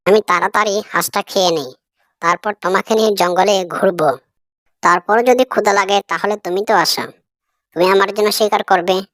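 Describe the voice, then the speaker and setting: A woman's voice: mid-range voice, moderate pace, no room sound, clean studio sound, lightly acted. A woman voicing a cunning jackal nephew in an animal cartoon, with a wheedling, crafty manner. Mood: eager, obliging. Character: cunning jackal nephew, polite but scheming. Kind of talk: Bangla animal cartoon dialogue.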